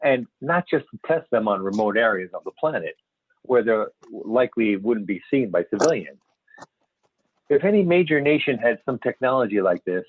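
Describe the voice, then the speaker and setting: Man reading as low, halting, emphatic UFO expert. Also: a little hiss or hum, lightly acted. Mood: explanatory, emphatic. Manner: explanatory, emphatic, halting over words. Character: male expert scientist investigating UFO reports, earnest and assured. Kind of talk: amateur script reading with stumbles. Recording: tinny phone-like conference call, echoey room.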